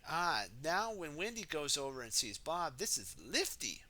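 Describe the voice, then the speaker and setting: A man reading a children's picture book aloud in an animated way, adding his own asides and exclamations for young listeners. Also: a little high, unhurried.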